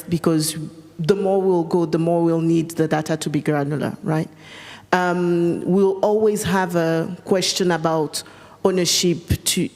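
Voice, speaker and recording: A woman's voice: fairly low pitch, a little mellow. A woman whose first language is French, answering earnestly on a conference panel, with many fillers and repeated phrases for emphasis. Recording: echoey conference hall.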